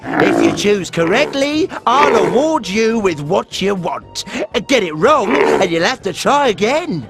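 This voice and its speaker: A man's voice: sits high, big pitch swings, unhurried, creaky, fairly bright tone, faint music behind, exaggerated voice. A man voicing a sly, jovial game-stall huckster in a cartoon video game, teasing as he explains rules. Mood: teasing, patter.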